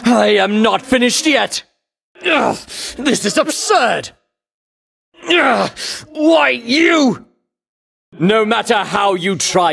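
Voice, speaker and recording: Vainglorious male game champion: scornful, grandiose, slow declamatory delivery with sweeping, animated intonation. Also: very high-pitched, gravelly, husky, heavily acted. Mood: indignant, shocked, then haughty, defiant. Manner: grandiose, declamatory, archaic heroic diction. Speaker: voice actor. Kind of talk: theatrical video game battle lines.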